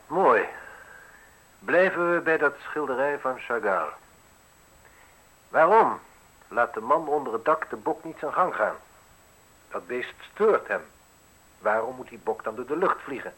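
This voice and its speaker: An actor playing a self-assured, mocking man in his thirties in a radio drama, speaking deliberately with ironic, theatrical pauses. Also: mid-range voice, expressive, fairly bright tone, low-quality recording. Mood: probing, ironic. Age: 30s. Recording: husky-sounding radio play recording.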